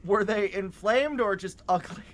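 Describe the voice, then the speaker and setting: A person doing improvised comedy banter in an exasperated, excitable way. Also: medium pitch, measured pace.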